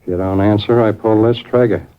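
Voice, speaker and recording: An actor playing a sarcastic, menacing gangster boss in a 1950s radio crime drama. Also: male voice, lowish voice, steady tone, brisk, clear, resonant tone, natural voice. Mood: threatening. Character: sneering male gangster boss, menacing and mocking. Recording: dull vintage 1950s radio recording.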